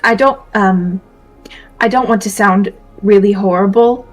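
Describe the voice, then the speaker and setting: A woman's voice: medium pitch, unhurried. A woman talking casually and improvising as a player in a tabletop roleplaying game. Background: quiet music.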